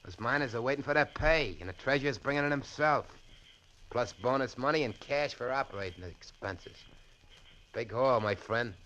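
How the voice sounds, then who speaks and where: A man acts a hard-boiled robber in a radio crime play. He is bossy and clipped, with a sharp, tense edge to a mid-pitched voice. The vintage broadcast is muffled. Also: normal speed, slight background noise, lightly acted. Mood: impatient, hard. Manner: tough, clipped, commanding. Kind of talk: 1940s radio crime drama acting.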